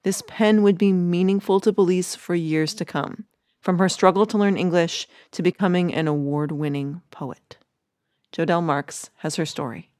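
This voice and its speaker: A woman's voice: on the low side, steady tone, moderate pace, darkish tone, smooth, dry recording, studio quality. A woman reading scripted narration as the host of a podcast.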